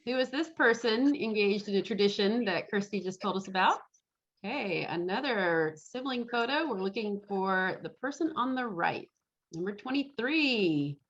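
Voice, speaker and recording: Female quiz host, casual and conversational, middle pitch, muffled video-call sound. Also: lively intonation, moderate pace. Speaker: quiz host at an online fan club meeting. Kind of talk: casual game hosting. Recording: dull, lo-fi video call audio.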